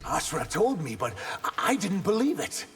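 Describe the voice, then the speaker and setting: An actor playing a humble, reserved reptilian shape-shifter in a video game, serious and hesitant. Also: male voice, high voice, sing-song, normal speed, breathy edge, heavily acted. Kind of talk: video game fight banter.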